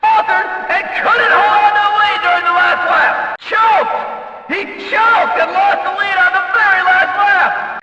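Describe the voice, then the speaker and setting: Very high-pitched, excitable race announcer. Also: male voice, muffled recording, very noisy. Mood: dismayed, mocking. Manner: emphatic, dramatic, shouting calls. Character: excitable male race announcer calling a demolition derby. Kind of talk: hyped race commentary. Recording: echoey hall, distant from the mic.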